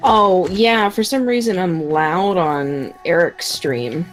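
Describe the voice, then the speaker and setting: A woman streamer chatting casually with co-streamers, quick and animated, with lots of fillers. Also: fairly low pitch, soft background music.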